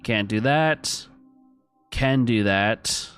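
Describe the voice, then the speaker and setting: A man's voice: mid-range voice, very slow, fairly bright tone, clean tone, soft background music. A man streaming a video game, thinking aloud, chatting with his viewers and reading on-screen text as he plays. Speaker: gaming streamer. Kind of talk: casual let's-play commentary.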